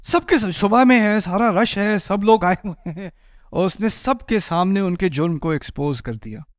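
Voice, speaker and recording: A man in his forties preaching with animated energy, his voice sitting high for a man. He walks the stage and acts out the people in his story, and the headset mic keeps the sound close and dry. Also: moderate pace, dry recording. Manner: animated, gestures widely while pacing a stage. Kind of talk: sermon. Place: indoor stage before a patterned backdrop.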